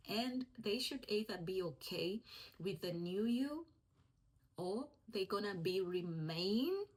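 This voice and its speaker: A woman's voice: mid-range voice, breathy edge, poor audio. A young woman from East Africa, a Swahili speaker, giving an encouraging faith-based vlog talk in a conversational way that repeats its key phrases. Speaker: vlogger.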